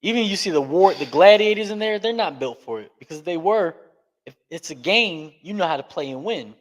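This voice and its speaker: A man's voice: sits high, expressive. Earnest man speaking emphatically. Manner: earnest, emphatic, speeds up and slows down for stress. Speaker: podcast guest. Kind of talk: candid interview talk.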